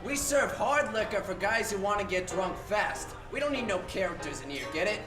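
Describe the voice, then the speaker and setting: A man's voice: high-pitched, lively intonation, moderate pace, echoey room, music in the background. A man playing a brusque, threatening, slangy tough guy in a 1940s-style radio play. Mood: menacing. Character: gruff, hostile tough-guy bartender or cop.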